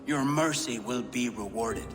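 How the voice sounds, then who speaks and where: An actor playing a wily, brazen Dark Age British chieftain in a video game cutscene, theatrical and sardonic. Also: male voice, mid-range voice, measured pace, long reverb tail, music in the background, lightly acted. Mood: sardonic, resigned.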